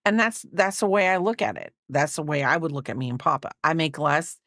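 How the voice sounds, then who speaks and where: A woman's voice: low voice, a little fast, a bit bright, no echo, clean studio sound. A woman in her fifties chatting casually on a crochet livestream, rambling and reading out viewer comments. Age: 50s.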